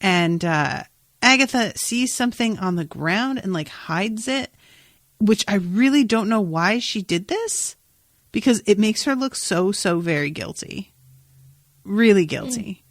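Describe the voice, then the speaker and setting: A woman's voice: mid-range voice, measured pace, no room sound. Casual, sweary woman podcaster, dry close sound. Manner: informal, sweary, expressive storytelling. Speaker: podcast host. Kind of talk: casual chat, recapping a TV episode.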